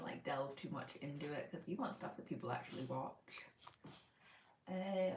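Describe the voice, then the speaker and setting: Woman, low voice, chatty book livestream host, from Northern Ireland, echoey room, distant mic. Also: gravelly, a little hiss or hum. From Northern Ireland, raised in Spain. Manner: chatty, thinking aloud with many fillers. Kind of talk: casual livestream chat. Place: home room by her bookshelf.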